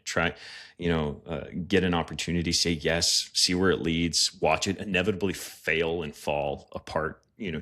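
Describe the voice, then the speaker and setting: A man in his early thirties with a deep, low voice, speaking as a podcast guest. He thinks out loud in a rambling, self-deprecating way, circling back with fillers as he reflects. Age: 30s.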